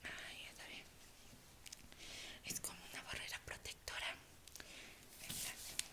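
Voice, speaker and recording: A young woman in a whispered ASMR roleplay as a dentist, breathy and close to the mic, reassuring and unhurried. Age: young adult. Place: small indoor room.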